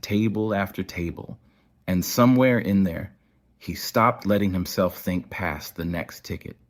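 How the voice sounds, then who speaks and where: A man reading scripted narration for an audio story. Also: on the low side.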